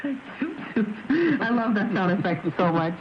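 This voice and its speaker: A woman's voice: mid-range voice, big pitch swings, slow, a crowd in the background. A woman talking casually and conversationally, as on a radio talk show. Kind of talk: casual travel chat. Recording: noisy, muffled shortwave radio reception.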